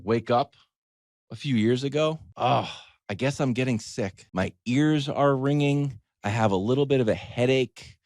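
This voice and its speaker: A man's voice: mid-range voice, a little slow, a little mellow, dry recording, clean studio sound. A middle-aged American man telling a story as a show host in a casual, wry comic monologue, acting out little quoted asides.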